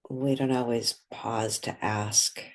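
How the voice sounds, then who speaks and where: A soft-spoken woman leading a guided meditation, pausing between short phrases. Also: a bass voice, fairly even intonation.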